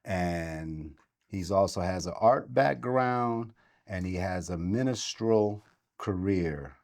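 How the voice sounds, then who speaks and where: A man's voice: sits low, slow, a little mellow. A man hosting an interview, introducing his guest and asking questions. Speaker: interview host.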